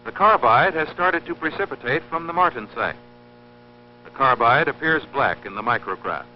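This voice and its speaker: A man narrating an instructional film in a formal, scripted, measured and precise teaching manner. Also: mid-range voice, expressive, a little hiss or hum. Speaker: narrator of an industrial training film. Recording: dull lo-fi old film soundtrack, humming.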